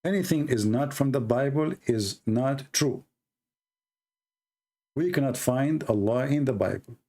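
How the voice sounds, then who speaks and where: Blunt Arabic-accented man, gravelly. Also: medium pitch, expressive, measured pace, mellow. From Middle East. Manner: blunt, mocking, emphatic repetition, broken grammar. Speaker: debate show host. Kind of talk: casual livestream commentary.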